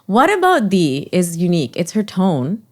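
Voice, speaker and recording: Lively young woman, joking and modest. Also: on the low side, moderate pace. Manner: modest, joking, deflects compliments with laughs. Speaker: singer, podcast guest. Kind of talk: casual chat.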